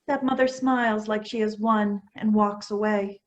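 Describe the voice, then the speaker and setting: A woman's voice: medium pitch, steady tone, moderate pace, muffled recording. A woman reading scripted stage directions as narrator of a staged play reading.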